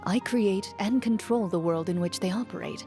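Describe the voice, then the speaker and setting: A composed young female champion duelist from a video game, played by a woman, stern and principled. She speaks with formal, measured precision and dry understatement at a medium pitch, over music. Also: mellow, close to an everyday voice. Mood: stern, resolute. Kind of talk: video game character voice lines. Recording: music behind the voice.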